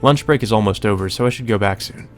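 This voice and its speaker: A man's voice: fairly low pitch, fast talker, faint music behind. A man reading a visual novel aloud as a let's play host, with informal commentary.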